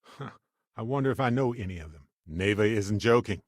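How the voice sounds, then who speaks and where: Game companion, a chatty, good-hearted wisecracking spacefarer; lowish voice, very animated delivery, close dry sound. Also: male voice, fairly quick, dry recording. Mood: playful, wry. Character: upbeat, wisecracking, warm-hearted male space explorer companion. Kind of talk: scripted video game companion dialogue.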